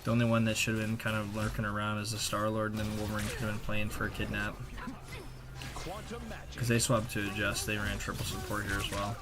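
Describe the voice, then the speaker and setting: Young male gaming creator, low register, loose slangy play-by-play, game music clearly behind him. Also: monotone, a little fast. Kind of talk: casual voice-over gameplay commentary. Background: game music and effects.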